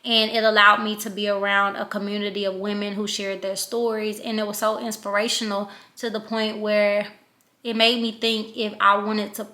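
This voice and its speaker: A woman's voice: mid-range voice, little pitch movement, normal speed, pushed and bright. A woman in her thirties from Louisiana with a country Southern accent, chatting reflectively in a casual vlog with plenty of ums. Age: 30s. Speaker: vlogger.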